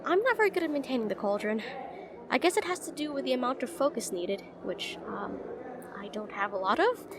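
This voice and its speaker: A woman as a high, lively, flustered young witch student. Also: a little fast. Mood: sheepish, playful. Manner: flustered, hesitant, chatty and self-deprecating. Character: shy, rambling young witch student in potions class. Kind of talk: slice-of-life fantasy audio roleplay. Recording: voice over busy classroom crowd ambience.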